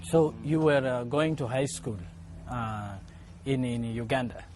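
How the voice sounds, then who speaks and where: A man, asking measured, probing questions as a TV interviewer outdoors on a lapel mic. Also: mid-range voice, a little mellow. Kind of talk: broadcast interview. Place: outdoors by leafy bushes. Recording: faint outdoor noise.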